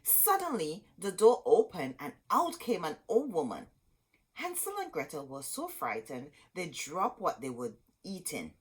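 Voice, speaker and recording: A woman reading a children's story aloud as host, expressive and dramatic, drawing out words for emphasis. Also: fairly low pitch, a little slow, husky.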